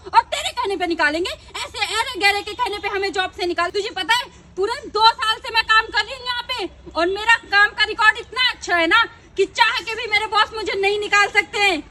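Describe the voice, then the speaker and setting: A woman's voice: very high voice, fairly quick, hardly any pauses, recorded from a distance, heavy background noise. A woman playing a haughty, spiteful office employee in a melodrama skit, scolding and full of scorn. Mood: indignant, boastful. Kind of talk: amateur Hindi melodrama skit acting.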